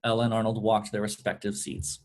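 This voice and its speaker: A man's voice: lowish voice, completely flat delivery, fast talker. A man reading stage directions as scripted narration at a table read.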